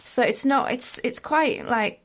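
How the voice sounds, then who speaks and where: A woman vocal coach explaining singing in a relaxed podcast conversation, patient and full of examples. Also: fairly high pitch, measured pace.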